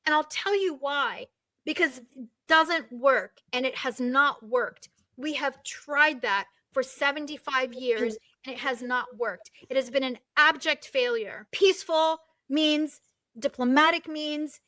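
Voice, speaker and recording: High-voiced woman guest, intense and emphatic, dry close mic, from palestine. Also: a little slow, no room sound. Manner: forceful, emphatic, repeats words for emphasis. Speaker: guest, novelist and activist. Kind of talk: impassioned interview talk.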